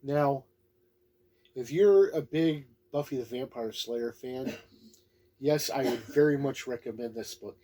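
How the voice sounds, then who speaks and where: A man's voice: medium pitch, slow pace, warm tone. A man giving a casual, unscripted review in a folksy, plain-spoken, laid-back way. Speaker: tabletop game book reviewer.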